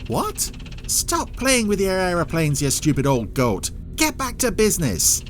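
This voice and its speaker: A man's voice: high-pitched, wildly swooping pitch, measured pace, soft background music, pitched above the performer's own voice, heavily acted. A man voicing an impatient, greedy, bullying spy villain in an audio drama, snappish and scornful. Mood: angry, impatient.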